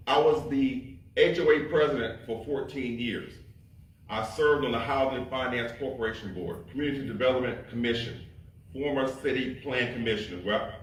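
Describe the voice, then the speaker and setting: Confident man listing credentials, lowish voice. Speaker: city council candidate. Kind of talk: campaign opening statement at a public forum. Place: community hall. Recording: echoey hall mic from a distance, light noise.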